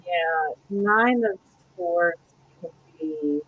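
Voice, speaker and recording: A woman co-hosting a casual spiritual discussion, explaining and gently correcting as she goes. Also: on the low side, very slow, phone-quality sound, a little hiss or hum. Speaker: roundtable co-host.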